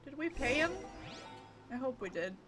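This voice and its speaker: A woman's voice: sits high, lively intonation, normal speed. A woman streamer giving casual, playful gameplay commentary in short, giggly reactions. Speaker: game streamer. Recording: game music behind the voice. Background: video game music.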